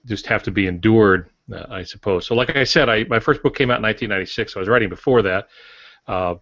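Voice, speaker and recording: An older man, a veteran author, talking casually on a podcast with dry, measured humour. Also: on the low side, a bit bright, dry recording. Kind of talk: casual conversation. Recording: dull close lo-fi audio.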